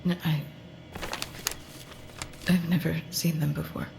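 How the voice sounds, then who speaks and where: An actress playing a frightened, bewildered dream therapist in a psychological horror audio drama, stammering and pleading. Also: female voice, deep voice, little pitch movement, vocal fry, a little mellow, a little hiss or hum, full character voice. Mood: disbelieving, afraid. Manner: stammering, halting.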